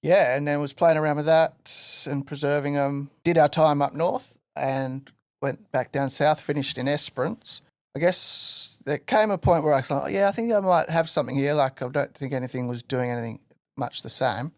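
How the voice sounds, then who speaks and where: Relaxed, rambling man. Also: fairly high pitch, moderate pace, no echo, studio quality. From Western Australia. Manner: relaxed rambling storyteller with many fillers. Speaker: guest artist. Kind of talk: casual podcast interview.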